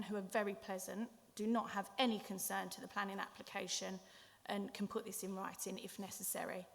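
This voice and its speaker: Woman applicant, firm prepared statement, mid pitch, hall echo. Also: fairly bright tone. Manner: firm, reads points in turn. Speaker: planning applicant. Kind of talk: prepared statement at a council meeting. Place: council chamber. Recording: long hall reverb.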